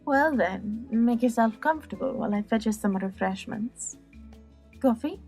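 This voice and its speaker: A woman's voice: on the high side, normal speed, a little mellow, soft background music, lightly acted. A woman playing a seductive, knowing courtesan in an audiobook drama, with a sultry, teasing manner. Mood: gracious.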